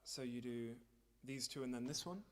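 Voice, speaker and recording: A man's voice: mid-range voice, moderate pace. A man hosting a livestream living room concert, chatting casually and organising his fellow musicians. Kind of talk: casual chat between songs, addressing online viewers.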